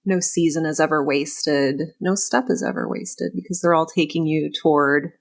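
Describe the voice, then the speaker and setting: A woman with a slightly low voice hosts a casual podcast interview. She talks informally and earnestly, effusive in how she responds to her guest. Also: fairly even intonation, moderate pace, vocal fry.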